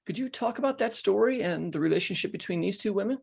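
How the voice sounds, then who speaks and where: A man's voice: high-pitched, lively intonation, fast talker, creaky. A man co-hosting a literary podcast, asking questions and commenting in a conversational way. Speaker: podcast co-host.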